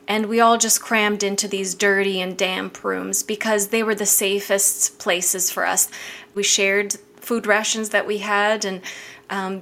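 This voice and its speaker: A woman around forty, from Bosnia with Bosnian as her first language, recalling her past in a reflective, measured way with some restarts. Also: mid-range voice, gravelly, a bit bright. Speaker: interviewee. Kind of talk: personal recollection.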